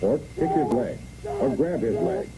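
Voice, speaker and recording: A man's voice: medium pitch, huge pitch swings, measured pace. An American man reads a scripted 1960s military instructional narration in clipped, step-by-step commands. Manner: clipped, imperative, step-by-step delivery. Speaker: training film narrator. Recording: noisy, muffled 1960s training film soundtrack.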